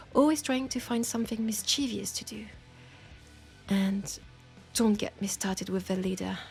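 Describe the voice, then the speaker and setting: A woman's voice: mid-range voice, darkish tone, natural voice. A woman with a French accent playing a teasing, nurturing witch who tames werewolves, chatting playfully and coaxingly to the listener in an audio roleplay. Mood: mock exasperation. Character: teasing, nurturing female witch, self-styled werewolf tamer.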